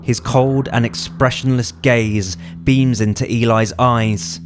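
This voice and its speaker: A man's voice: mid-range voice, fairly even intonation, slow, faint music behind. A man reading scripted screenplay narration in the present tense, as writer and narrator of an audio drama.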